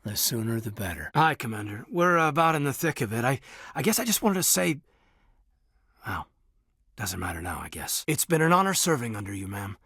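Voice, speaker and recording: A man acting in a video game as an earnest, loyal military officer, reserved and thoughtful, with a husky voice and a sincere, measured delivery. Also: medium pitch, sing-song, pushed and bright, no room sound, studio quality, full character voice. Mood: tender, flirtatious, then subdued, apologetic.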